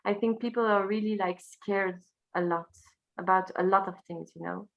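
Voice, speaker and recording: Creaky-voiced Belgian French woman. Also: medium pitch, fairly even intonation, highs cut off. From Brussels, Belgium. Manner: halting non-native English, searching for words. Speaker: interview guest, singer and teacher. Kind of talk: casual conversational interview.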